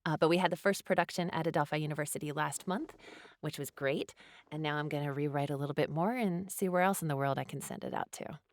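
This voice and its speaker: A woman's voice: low-pitched, quick pace. A woman chatting casually as an interview guest, telling stories in a conversational way.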